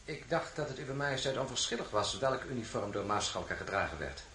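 An actor playing a steadfast, courteous French marshal in a radio drama, speaking in a formal, measured, restrained way. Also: male voice, mid-range voice, expressive, sharp tone. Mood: respectful, unyielding. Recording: echoey room with faint noise.

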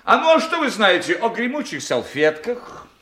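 An actor playing a condescending, moralizing superior in a radio drama, questioning a subordinate in a pedantic, deliberate way, in an echoey room. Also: male voice, high-pitched, sing-song, bright, forceful tone, heavily acted. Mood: probing, insistent. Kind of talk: Russian radio drama acting.

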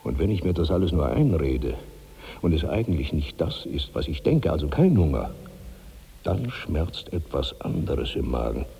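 An actor playing a gigantic, self-pitying rich glutton of 65 in theatrical, grandiose 1960s radio drama style, swinging between brooding and giddy. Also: male voice, very deep voice, sing-song, normal speed, gravelly, dark tone, husky, full character voice. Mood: pained. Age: older. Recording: reverberant 1960s radio studio recording.

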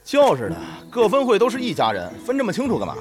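An actor playing an excitable, quarrelsome guild officer with an outspoken manner in a Chinese audio drama. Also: male voice, sits high, fast, soft background music. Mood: argumentative.

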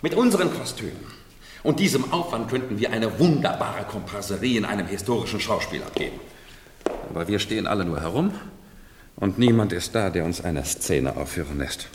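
An actor in a radio play playing a world-weary, theatrical courtier who explains things wryly. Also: male voice, fairly low pitch, animated, fairly quick, lightly acted. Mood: lamenting. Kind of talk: 1970s German radio play acting. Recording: echoey hall, noisy old radio recording.

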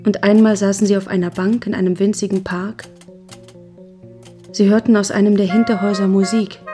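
A woman narrator reading scripted literary prose in a measured storytelling style. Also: mid-range voice, mellow. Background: plucked guitar music.

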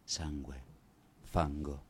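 A male actor as a fallen soldier and father with a low voice, speaking in solemn stage monologue. He is weary and disillusioned, declaiming gravely and with deliberate weight. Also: little pitch movement, full character voice. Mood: bitter, grave.

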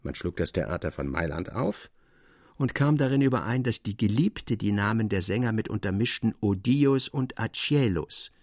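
A man's voice: on the low side, expressive, normal speed, a little mellow, no echo, studio quality. A man reading literary narration in a scripted radio style.

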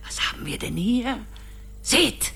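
A woman's voice: a little high, sing-song, a little slow, vocal fry, raised voice, very noisy, full character voice. An actress playing a menacing, grandiose ancient witch-queen in a radio drama, theatrical, with sudden whispers and shrieks. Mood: sly, scornful.

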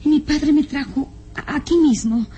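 A woman's voice: high voice, moderate pace, mellow, faint music behind, natural voice. A woman playing a sorrowful young daughter in a classic radio drama, mournful and melodramatic in delivery.

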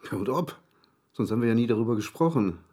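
A man's voice: mid-range voice, animated, fast, dark tone, close to an everyday voice. An actor playing a harried, impatient, agitated man in a radio play, blurting out colloquial lines nervously. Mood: nervous, explaining.

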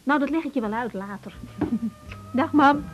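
An actress playing an encouraging grown daughter in a Dutch radio drama, practical and conversational, with an accent from the Netherlands. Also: female voice, high voice, measured pace, dull audio, over music. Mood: playfully evasive. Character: brisk, encouraging grown daughter advising her mother. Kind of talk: naturalistic 1980s radio drama acting.

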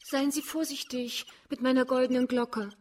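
Actress as frank, gentle young woman. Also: sits high, level tone, moderate pace, a little hiss or hum, lightly acted. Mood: tender, delighted. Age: young adult. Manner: candid, composed, earnest. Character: frank, gentle young blind woman who keeps singing crickets. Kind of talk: German radio drama acting.

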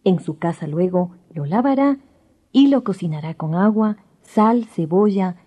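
An actress playing a knowing neighbour in a radio drama, explaining recipes in a husky, dark, sing-song voice. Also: female voice, on the low side, lively intonation, unhurried. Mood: sly, instructing. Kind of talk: 1990s radio drama acting.

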